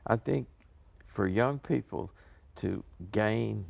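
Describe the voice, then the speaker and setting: Older american guy, super gravelly voice, dry sound, chatting in an interview, kinda rambly and earnest. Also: on the low side, takes it slowly, a little mellow, dry recording. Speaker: interview guest promoting a community theater show. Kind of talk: casual interview talk.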